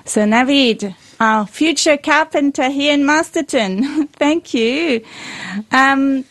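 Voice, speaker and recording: A woman's voice: high-pitched, slow pace, fairly bright tone, dry recording. Chatty woman radio host, dry sound. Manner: chatty, encouraging. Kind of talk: community radio interview. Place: radio studio.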